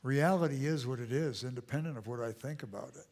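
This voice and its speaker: A man's voice: medium pitch, quick pace, a little mellow. A man giving a church lecture with audience questions, in an emphatic, repeating cadence that sometimes sinks to a near whisper. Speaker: guest speaker. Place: church auditorium.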